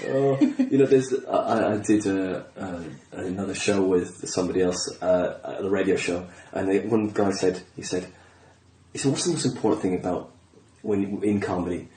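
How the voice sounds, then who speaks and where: A man's voice: deep voice, moderate pace, dark tone, slight background noise, poor audio. A man hosting a podcast, chatting casually and interviewing in a jokey, self-deprecating way. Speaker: podcast host.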